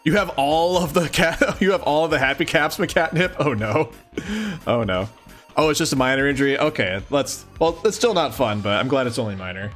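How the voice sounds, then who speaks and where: A man's voice: a little high, expressive, normal speed, fairly bright tone, soft background music. A man hosting a livestream, chatting casually and affectionately with his viewers. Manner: affectionate, cooing to a pet. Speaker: livestream host.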